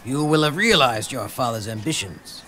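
Assured, bright-voiced strategist. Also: male voice, mid-range voice, animated, moderate pace, slight background noise. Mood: cool confidence. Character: calculating male strategist advising his lord. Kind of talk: dramatic video game cutscene acting.

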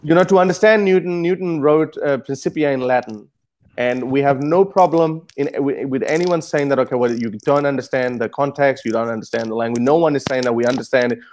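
A man's voice: medium pitch, a little fast, a little mellow, slight background noise. A man in his thirties whose first language is Urdu, hosting a heated debate over earphones on a video call. He stammers and repeats words. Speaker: host. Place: home kitchen, indoors. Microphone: earphone mic.

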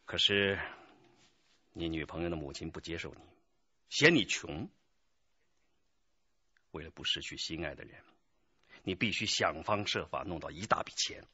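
An actor playing a stern, earnest young police detective lecturing a suspect in a radio drama. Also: male voice, low-pitched, animated, normal speed, long pauses, bright, forceful tone, breathy edge, full character voice. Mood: grave, sympathetic. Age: 20s. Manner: emphatic, lecturing. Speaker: police interrogator. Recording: dull, muffled lo-fi audio.

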